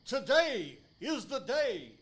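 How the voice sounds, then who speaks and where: Man putting on a high, theatrical older preacher. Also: big pitch swings, a bit bright, husky, exaggerated voice. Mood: solemn preaching. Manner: theatrical, solemn, drawn-out delivery. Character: tall older gentleman, fervent cult preacher feigning tender concern.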